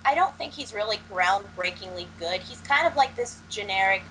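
A young woman speaks in a wry, teasing way, laying out sharp opinions in a casual film chat. She talks on a video call from a room at home, her voice at a middle pitch for a woman. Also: lively intonation, moderate pace, a little hiss or hum, low-quality recording. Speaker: podcast co-host.

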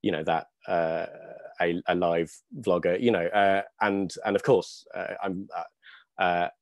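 A man in his thirties speaking on a video-call panel, thinking aloud in a hesitant, halting way with searching pauses. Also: low voice, fairly even intonation, no room sound, studio quality. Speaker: theatre maker, panelist. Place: small dim room at home, video call. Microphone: wired earphone mic on video call.

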